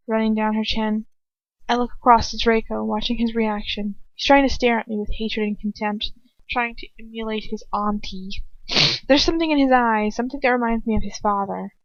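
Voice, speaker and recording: A woman's voice: fairly high pitch, no room sound, lo-fi sound. A young woman reading fan fiction aloud informally, breaking off with sweary, irreverent asides and corrections of her own slips. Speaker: amateur fan fiction reader.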